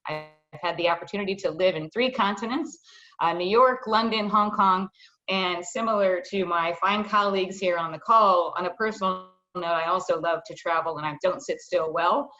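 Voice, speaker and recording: A middle-aged woman giving a professional self-introduction as a panelist, in articulate, measured phrases. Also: lowish voice, sharp tone. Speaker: panelist, nonprofit chief executive. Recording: slightly dull online call audio.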